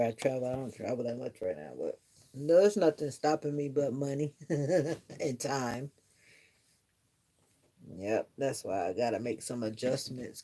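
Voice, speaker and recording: A woman's voice: extremely low pitch, mellow, husky. A middle-aged woman chatting casually on a live stream, musing earnestly to her viewers in a reflective, rambling way. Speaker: livestreamer.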